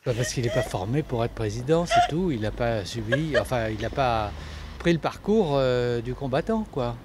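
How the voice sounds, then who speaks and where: Plain-talking guy at a street market, voice swoops a lot, loud traffic behind. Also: mid-range voice, wildly swooping pitch, normal speed. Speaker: shopper interviewed at a market. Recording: street noise outdoors.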